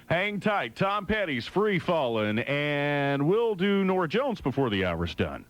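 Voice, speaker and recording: A man's voice: on the high side, sing-song, a little slow, dry recording. A man hosting a music radio show, delivering upbeat, joking DJ patter into a close studio mic. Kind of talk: hot adult contemporary radio DJ patter over music. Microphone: close broadcast studio mic. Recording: loud music bed behind the voice.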